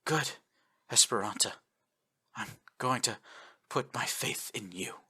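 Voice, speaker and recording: Guy doing a dying old admiral, raspy, soft, slow and halting. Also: mid-range voice, full character voice. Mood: fading. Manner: slow, halting, quiet, breaking off mid-sentence. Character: aging male admiral, dying, fading over comms.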